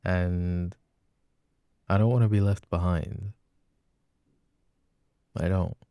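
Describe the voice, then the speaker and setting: A man's voice: low-pitched, fairly even intonation, takes it slowly, vocal fry, dark tone, clean tone, no room sound, exaggerated voice. A young man playing an apologetic, adoring jealous boyfriend in an intimate roleplay, speaking in a casual, hesitant murmur. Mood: proud, affectionate. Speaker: voice actor.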